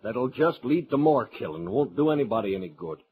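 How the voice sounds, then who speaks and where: Actor as blustering, animated frontier townsman. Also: mid-range voice, a little fast, phone-quality sound, lightly acted. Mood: blustering appeal. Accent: American. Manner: indignant, blustering. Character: pompous, self-serving townsman pleading with the marshal. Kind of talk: 1950s radio Western acting. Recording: vintage 1950s radio recording.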